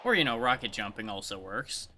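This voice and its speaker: A man's voice: on the low side, expressive, a little fast, noisy background. A man livestreaming a game with casual, dry, sardonic commentary. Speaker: game streamer. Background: game music.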